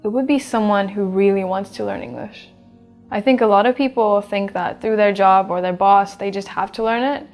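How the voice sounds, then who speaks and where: A woman in her twenties from Sweden answers interview questions in a relaxed, chatty way, pausing with fillers as she thinks. Her voice sits at an ordinary middle pitch, and faint music plays behind her. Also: gravelly. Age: 20s. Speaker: English teacher being interviewed.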